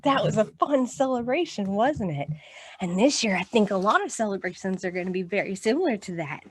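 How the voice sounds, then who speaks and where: A woman's voice: medium pitch, animated, fairly quick, breathy edge, no echo. A woman with an American accent hosting a children's storytime, reading aloud and speaking to the children in an encouraging way. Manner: encouraging, addresses children directly with questions and praise. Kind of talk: read-aloud storytime and action songs for young children.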